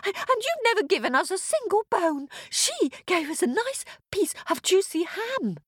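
A plaintive talking guard dog from a storybook, voiced by a woman, full of grievance. The voice is very high and swoops as it complains. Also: very animated, normal speed, a bit bright, no echo, studio quality, exaggerated voice. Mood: aggrieved.

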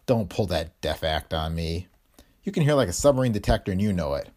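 A man's voice: low voice, expressive, brisk, darkish tone. A man voicing a dry, pompous male hotel detective who speaks in a formal, long-winded way.

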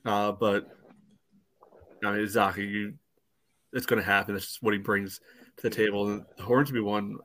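A man's voice: lowish voice, flat delivery, normal speed, long pauses, fairly bright tone. A man co-hosting a sports podcast, giving informal, opinionated match analysis. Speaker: podcast co-host.

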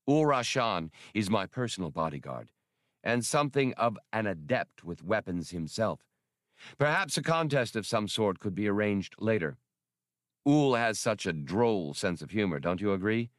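A man's voice: lowish voice, very animated, normal speed, dry recording, studio quality. A man voicing a powerful male official who is smug, chatty and condescending, a coldly dangerous audiobook villain. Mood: gently chiding.

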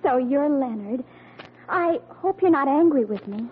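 A woman's voice: high voice, expressive, a little slow. An actress playing an impulsive, boy-crazy teenage daughter with theatrical, gushing delivery in an old-time radio comedy. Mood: eager, smitten. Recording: noisy, muffled old radio recording.

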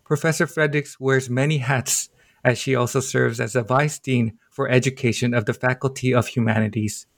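A man hosting a book interview podcast, reading a formal introduction of his guests in a measured way with occasional slips. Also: mid-range voice, fairly even intonation, vocal fry, no echo, studio quality. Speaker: podcast host.

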